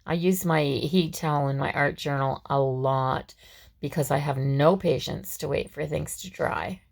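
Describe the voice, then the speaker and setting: Hoarse woman, low and raspy, chatty home craft tutor. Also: measured pace. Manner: chatty, with frequent fillers and restarts. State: scratchy, hoarse voice. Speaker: craft vlogger. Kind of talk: casual step-by-step craft tutorial.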